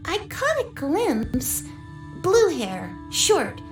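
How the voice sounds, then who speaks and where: A woman's voice: very high-pitched, huge pitch swings, extremely slow, over music, exaggerated voice. A woman voicing an earnest, anxious young witness in a video game, speaking in a careful, hesitant way. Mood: uneasy, recalling.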